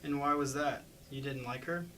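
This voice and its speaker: A man's voice: medium pitch, fairly even intonation, moderate pace, sharp tone, lightly acted. Man reading as a patronizing attorney, slightly distant. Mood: probing, businesslike. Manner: patronizing, probing. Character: condescending, sarcastic county attorney investigating a murder. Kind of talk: amateur staged play reading. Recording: distant mic with faint background noise.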